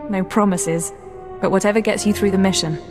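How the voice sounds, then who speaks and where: An actress playing an earnest, composed intelligence analyst in a video game, precise with slight hesitance. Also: female voice, medium pitch, fairly even intonation, a little fast, natural voice. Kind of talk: video game cutscene acting. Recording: reverberant hall, faint music underneath.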